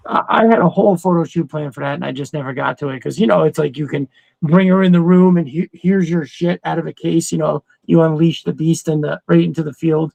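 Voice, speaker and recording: A man's voice: on the high side, a little fast, vocal fry, darkish tone, no room sound, lo-fi sound. A middle-aged man co-hosting a casual chat, blunt and conversational, with some swearing.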